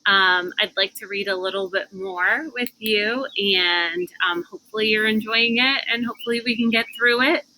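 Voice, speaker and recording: A woman's voice: mid-range voice, moderate pace, few pauses, bright, forceful tone, poor audio. A woman reading a children's book aloud outdoors on a porch, adding casual asides as she goes. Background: cicadas and air conditioner hum.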